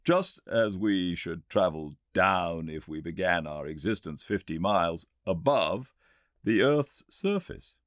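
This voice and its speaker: A man voicing a Victorian gentleman inventor with a British accent, lecturing eagerly and confidently in an emphatic audiobook performance. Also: low voice, animated, no room sound, clean studio sound. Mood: eager rebutting. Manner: emphatic, didactic, pauses to make points. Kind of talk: audiobook character dialogue.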